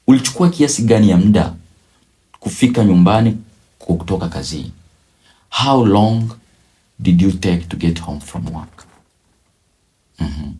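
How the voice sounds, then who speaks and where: Man, teacher, slow deliberate drilling, repeats phrases, lively intonation. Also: fairly low pitch. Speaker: English teacher. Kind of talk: beginner language lesson.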